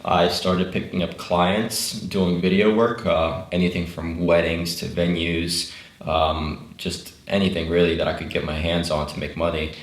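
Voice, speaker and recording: A young man, a native Russian speaker, talks through his story as a podcast guest, loose and unhurried with plenty of "uh"s and restarts. His voice sits very low and stays flat, with little rise and fall. The room around him gives it a roomy, echoing sound. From Ukraine. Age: 20s. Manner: relaxed, rambling with fillers and restarts. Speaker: guest, travel YouTuber. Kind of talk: casual conversational interview.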